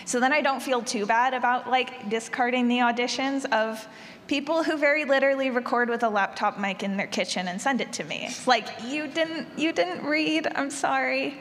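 Woman, high-pitched, conversational panel talk, sound designer, long hall reverb. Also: moderate pace, a bit bright, a little hiss or hum. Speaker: panelist, audio drama creator and sound designer. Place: large convention room, live audience.

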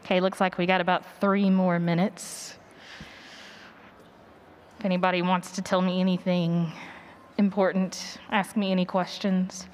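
A woman's voice: lowish voice, flat delivery, unhurried, pauses often, darkish tone. A woman presenting a workshop, chatting casually and playfully with her audience from a stage. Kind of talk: casual chat with audience before a talk. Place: on a stage at a live conference session. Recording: noticeable background noise, live event ambience.

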